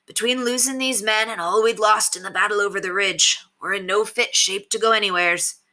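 A woman's voice: medium pitch, steady tone, fairly quick, sharp tone, voice pushed up, close to an everyday voice, poor audio. A woman playing a weary, dutiful older male bandit in an audiobook story, plain-spoken with rustic phrasing. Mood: grim report.

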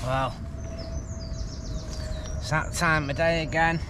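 A man in his forties chatting casually as a camping vlogger, low-key and muttering to himself as he works. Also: steady tone, slow pace. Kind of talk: casual vlog chat. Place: in and beside a small tent by a busy road. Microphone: camera at arm's length or propped nearby. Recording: noisy outdoor field recording, passing traffic. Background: traffic and outdoor ambience.

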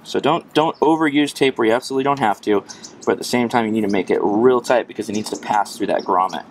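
A man's voice: on the low side, fast talker. A man in his thirties giving a casual hands-on tutorial, thinking aloud as he works. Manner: casual, chuckling, thinking aloud while working. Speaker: DIY presenter. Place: outdoors at an open car. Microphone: camera set near the work. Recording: clear background noise, outdoor ambience.

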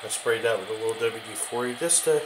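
A man with a lowish voice shows off gear in an unscripted, casual way. His phrases come haltingly and often trail away. It is recorded on a handheld camera in a home kitchen, with plenty of noise behind him. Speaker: host of a radio hobby video show. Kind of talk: casual show-and-tell product demonstration. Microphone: handheld camera, behind the lens.

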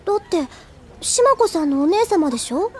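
A woman's voice: very high-pitched, very animated, normal speed, darkish tone. An actress playing an earnest, easily flustered teenage schoolgirl in a Japanese anime audio drama. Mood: earnest, worried.

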